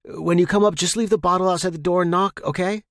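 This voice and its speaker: A man's voice: high-pitched, fairly even intonation, fast talker, dry recording, clean studio sound, pitched above the performer's own voice. Man acting a tense, snappish office worker. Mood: nervous, urgent. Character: panicked, defensive, foul-mouthed male office worker. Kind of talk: audio fiction character dialogue.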